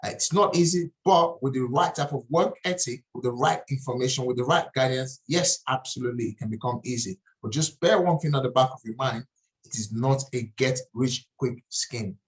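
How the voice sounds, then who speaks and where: A man's voice: medium pitch, a little fast, rough recording. A middle-aged man from Nigeria with a Nigerian accent, presenting a property investing webinar in an earnest way and often repeating and restarting phrases. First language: Nigerian language.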